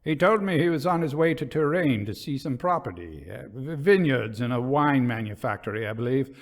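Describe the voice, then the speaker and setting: A man playing a grave British colonel in his forties, speaking slowly and deliberately in a ghost story. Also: medium pitch, lively intonation, close to an everyday voice. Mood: haunted, reflective.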